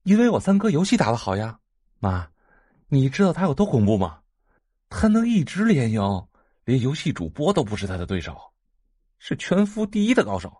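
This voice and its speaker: A spoiled young heir in an audio drama. He is cheeky, carefree and given to whiny pleading, with very lively pitch in a dry studio sound. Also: moderate pace, husky, no room sound. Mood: cheerful boasting. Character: whiny, carefree young rich son, game-obsessed.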